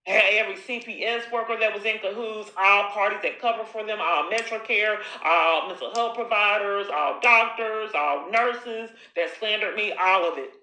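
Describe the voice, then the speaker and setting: A woman's voice: medium pitch, normal speed, few pauses, bright, forceful tone. A woman talking in an insistent, accusatory way, confronting someone on a call and running into long, repetitive monologues. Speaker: caller recording her own phone call. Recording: echoey room.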